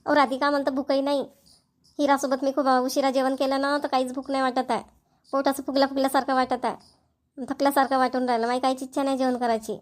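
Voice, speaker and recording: A woman's voice: high-pitched, little pitch movement, quick pace, lightly acted. A woman voicing a tired, middle-aged village mother-in-law in a family cartoon, with a homely Varhadi Marathi accent. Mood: weary. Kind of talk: cartoon family comedy voice acting.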